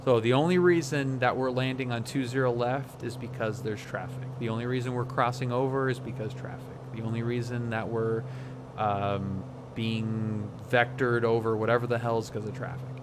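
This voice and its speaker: Man chatting over a steady background hum. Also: medium pitch. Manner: reflective, halting, searching for words. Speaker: flight sim streamer. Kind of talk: casual livestream commentary.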